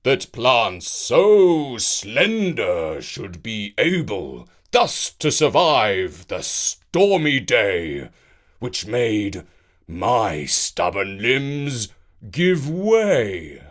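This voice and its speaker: A man's voice: mid-range voice, very animated, drawn-out words. A man voicing an aged, proud and stubborn oak tree in a verse fable, speaking in a ponderous, bewildered way. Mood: puzzled. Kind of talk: storybook verse reading.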